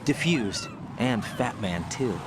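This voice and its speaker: An actor playing a young special-forces rookie in a video game, earnest, dutiful and full of questions. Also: male voice, medium pitch, expressive, slow pace, noisy background. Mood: earnest, concerned. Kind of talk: video game dialogue acting.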